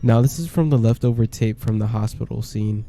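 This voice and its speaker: Dark-voiced man narrating a horror game. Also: fairly low pitch, brisk, creaky. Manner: plain, conversational, slightly hesitant. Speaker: gameplay commentator. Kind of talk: casual voice-over game commentary.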